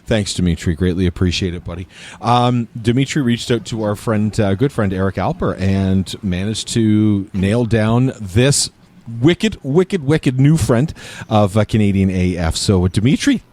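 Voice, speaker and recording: A man's voice: fairly low pitch, unhurried, a little mellow. A man hosting a casual podcast, enthusiastic and playful as he welcomes a guest over a remote call. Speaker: podcast host. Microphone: remote call mic.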